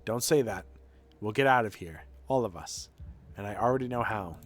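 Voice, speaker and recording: A man's voice: on the low side, expressive, normal speed, voice pushed down, lightly acted. A man voicing a blunt, self-assured doctor in a video game, with pointed and formal questioning. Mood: resolute. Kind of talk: dramatic reading of game dialogue. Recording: game music behind the voice.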